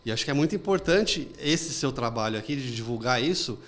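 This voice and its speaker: A man's voice: medium pitch, animated. A man hosting an interview, chatting casually and telling anecdotes. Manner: fast, chatty storytelling with anecdotes. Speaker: podcast host.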